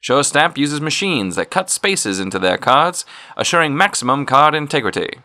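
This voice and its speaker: An actor playing a polished, upbeat announcer, salesmanlike and emphatic in the style of a retro radio serial advert. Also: male voice, on the high side, big pitch swings, normal speed, pushed and bright. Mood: upbeat, selling. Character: polished, upbeat male announcer pitching a sponsor's product.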